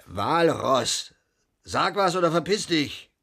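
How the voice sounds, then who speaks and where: An actor playing a gruff, cynical middle-aged father in a radio drama, telling his tales emphatically and with foul-mouthed agitation. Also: a little high, big pitch swings, takes it slowly, a bit bright, exaggerated voice. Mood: angry, cursing.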